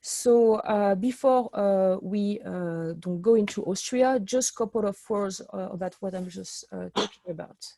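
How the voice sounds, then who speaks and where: A French-accented woman leads an online wine tasting over a video call, chatty and enthusiastic. Her voice is mellow and dark in tone, and it sounds dry and close, with no room around it. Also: mid-range voice, measured pace, hardly any pauses, no room sound. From France. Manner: chatty and enthusiastic, with frequent fillers and restarts. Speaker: sommelier and webinar host. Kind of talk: online wine seminar presentation.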